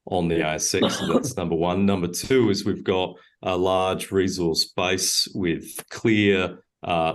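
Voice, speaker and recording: A very low-pitched man speaks as a mining company director in an interview. He answers in a measured, halting way, often pausing on fillers and correcting himself mid-sentence.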